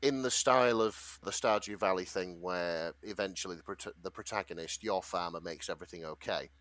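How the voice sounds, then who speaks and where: A man's voice: low-pitched, a little fast, fairly bright tone. A man talking casually and wryly as a podcast co-host, summarising and reading out a story in an informal way. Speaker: podcast co-host presenting a fan fiction story.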